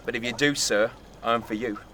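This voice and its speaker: An actor playing a swaggering, bawdy young servant who is spoiling for a fight, with boisterous delivery in a Shakespearean audio drama. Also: male voice, medium pitch, steady tone, brisk, sharp tone, close to an everyday voice. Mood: goading.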